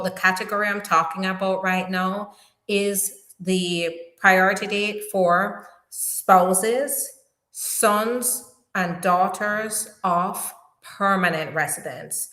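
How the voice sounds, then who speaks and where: Jamaican-accented woman attorney, slow and echoey. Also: mid-range voice, fairly bright tone. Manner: earnest, repeats and restates points. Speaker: immigration attorney hosting a live Q&A. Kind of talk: live-stream Q&A, explaining law.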